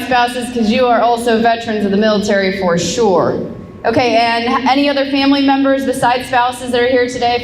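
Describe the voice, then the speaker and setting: Woman giving a mayor's speech, casual and wry, fairly high voice, roomy hall echo with faint background noise. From Illinois, USA. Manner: conversational, self-deprecating, engages the audience. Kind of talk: public speech at a ceremony. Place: large hall with an audience. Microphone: handheld microphone. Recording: echoey hall, long reverb, faint noise.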